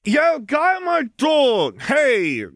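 A cheeky young girl from a fantasy storybook, acted out by a man. The voice sits high above a normal male range, in a close, dry recording. It is breezy and flippant, with a mock-polite manner. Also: huge pitch swings, slow pace, a bit bright, dry recording, exaggerated voice. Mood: brash.

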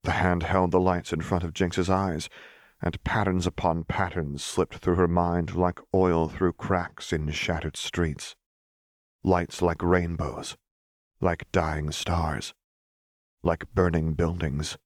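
A man reading scripted fiction as an audiobook narrator, in a measured, expressive storytelling manner. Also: low-pitched, dry recording, studio quality.

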